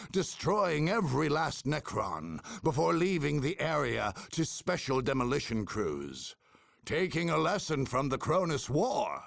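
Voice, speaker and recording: An actor playing a solemn, proud chronicler of a warrior order, narrating a game cutscene in a formal, grandiose manner. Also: male voice, medium pitch, creaky, slightly rough, no echo, clean studio sound, heavily acted. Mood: solemn, triumphant. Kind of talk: dramatic scripted war-chronicle narration.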